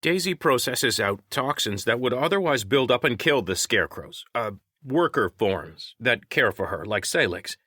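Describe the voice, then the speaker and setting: A man's voice: medium pitch, animated, normal speed, hardly any pauses, sharp tone, breathy edge, no room sound, studio quality, voice pushed up. A man voicing a wry, knowledgeable crewmate who explains things in an audiobook story. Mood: explaining.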